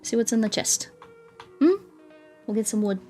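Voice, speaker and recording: A woman's voice: a little high, expressive, moderate pace, mellow, soft background music. A woman doing casual, playful game livestream commentary, chatting to viewers and often repeating her words and phrases.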